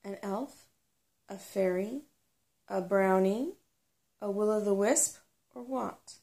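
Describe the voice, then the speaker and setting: A woman as a gruff, bossy little dwarf, creaky. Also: medium pitch, slow, dark tone. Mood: suspicious. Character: tiny stout old male dwarf, bossy, suspicious, excitable.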